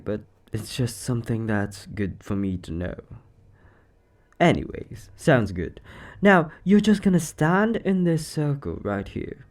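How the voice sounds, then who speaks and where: A man playing an eccentric, secretly sinister occult shop owner in a roleplay monologue, chatty and offhand with theatrical, drawn-out words. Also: mid-range voice. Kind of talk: scripted roleplay monologue to the listener.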